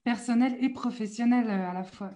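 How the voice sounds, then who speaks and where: A woman hosts a radio interview in a mellow, slightly high voice. She asks friendly questions and fills her pauses often. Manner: friendly, with frequent fillers. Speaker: radio host.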